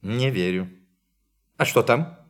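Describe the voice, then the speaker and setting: Sceptical professor with lively, swooping delivery. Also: male voice, mid-range voice, slow pace. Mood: incredulous. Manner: questioning, doubting, peremptory. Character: sceptical, brusque, inquisitive male professor investigating a mystery. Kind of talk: Russian radio play acting.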